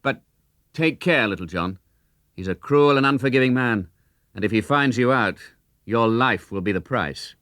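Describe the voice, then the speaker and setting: A man's voice: medium pitch, lively intonation, moderate pace, fairly bright tone, no echo, clean studio sound, close to an everyday voice. A man playing a commanding, wry outlaw leader with a declamatory, questioning way of talking.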